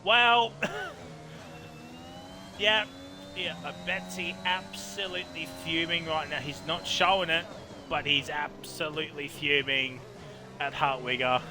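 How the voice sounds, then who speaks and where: Man, race commentator, slightly high voice, slow easy delivery, excitable, game engine noise behind. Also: vocal fry. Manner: excitable, quick reactions, chatty asides. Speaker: sim racing commentator.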